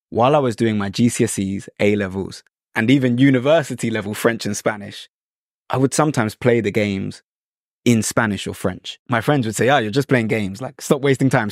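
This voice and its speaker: A man's voice: lowish voice, no echo, clean studio sound. A man from Britain hosting an interview, chatting conversationally and adding his own stories. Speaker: host. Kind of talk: casual interview chat.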